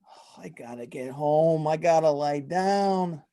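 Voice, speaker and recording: A woman's voice: deep voice, slow pace, mellow. A woman playing an exasperated, anxious middle-aged male mobster and family father in an amateur comic radio play. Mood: rattled. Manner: exasperated, anxious, slangy wiseguy talk. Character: harried, short-fused middle-aged male mob boss and family father.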